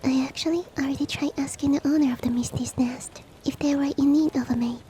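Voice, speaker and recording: A woman voicing a courteous, coaxing monster-girl maid in a soft, breathy, whispery roleplay ASMR style with formal, old-fashioned politeness. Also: high voice, fairly even intonation, fairly quick, a little hiss or hum, lightly acted. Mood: wistful, polite. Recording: rain ambience behind the voice. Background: falling rain.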